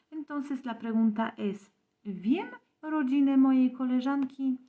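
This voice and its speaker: A woman teaching a live online Polish grammar lesson in Spanish, explaining slowly and checking in with frequent tag questions. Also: fairly high pitch, phone-quality sound.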